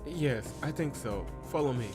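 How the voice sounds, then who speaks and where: A man's voice: medium pitch, lively intonation, normal speed, pitched above the performer's own voice, lightly acted. Timid young indentured woman, played by a man, hesitant and fragile, game dialogue over music. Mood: nervous.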